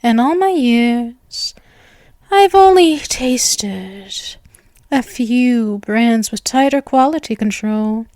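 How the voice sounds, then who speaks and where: Woman acting a slow, doting vampire girl, high-voiced. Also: a little mellow, dry recording, studio quality.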